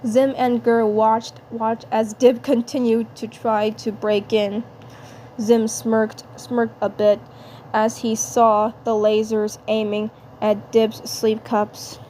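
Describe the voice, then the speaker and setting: A woman narrating a fan fiction script haltingly, often repeating words and phrases. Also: a little high, flat delivery, dark tone. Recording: clear background noise.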